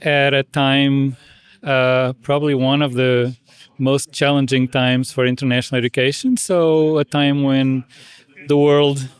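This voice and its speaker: Man, middle-aged, smooth resonant voice, dry sound, measured thoughtful answers. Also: mid-range voice, level tone, no room sound. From Portugal. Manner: measured, thoughtful, frequent restarts. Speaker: association president. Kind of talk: conversational interview.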